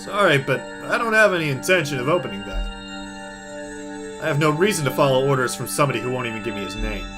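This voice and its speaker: A man's voice: medium pitch, expressive, fast, music in the background, close to an everyday voice. A man voicing a suspicious, easily flustered government minister on the telephone in an audiobook, with a stiff, indignant manner. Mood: firm refusal. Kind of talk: audiobook character voice.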